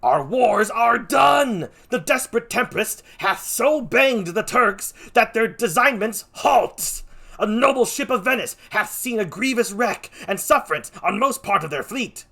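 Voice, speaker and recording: Man acting a husky, forceful Shakespearean messenger gentleman. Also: high-pitched, animated, a little slow, full character voice. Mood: urgent, proclaiming. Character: breathless gentleman messenger bearing war news. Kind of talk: theatrical Shakespeare read-aloud.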